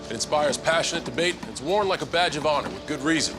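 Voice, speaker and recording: A man delivering earnest scripted inspirational narration for a public service announcement. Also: on the high side. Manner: earnest, measured rhetoric. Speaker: advert narrator. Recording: prominent music bed.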